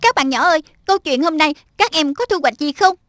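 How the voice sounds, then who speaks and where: A woman's voice: high voice, lively intonation, a little fast, fairly bright tone, dry recording. A woman voicing a small, preachy magical pet spirit in a cartoon dub, admonishing and instructive.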